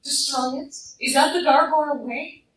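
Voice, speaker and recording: A woman's voice: sits high, steady tone, normal speed, a bit bright. A woman playing a defiant, principled young gargoyle daughter in a live radio play, earnest and challenging. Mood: defiant, pleading. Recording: roomy fan recording, distant from mic.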